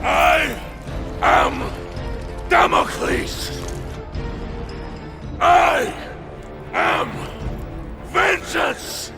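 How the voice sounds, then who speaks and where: An actor playing a grim, vengeful Roman warrior in a video game, roaring threats slowly and emphatically. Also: male voice, extremely high pitch, huge pitch swings, vocal fry, exaggerated voice. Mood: wrathful, menacing. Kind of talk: cinematic video game acting. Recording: music and crowd under shouting.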